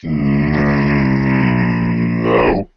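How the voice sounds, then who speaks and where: A man playing a gruff, laconic, gravelly-voiced fighter in an improvised radio play. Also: extremely low pitch, fairly even intonation, extremely slow, no echo, muffled recording, exaggerated voice. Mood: dismissive. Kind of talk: improvised audio role-play.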